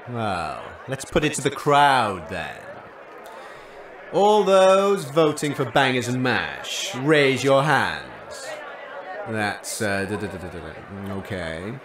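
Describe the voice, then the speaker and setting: An actor playing a dismissive talent contest judge in a comic audio drama, catty and condescending. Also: male voice, medium pitch, big pitch swings, takes it slowly, crowd noise. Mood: officious, dry. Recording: echoey room, slightly distant mic.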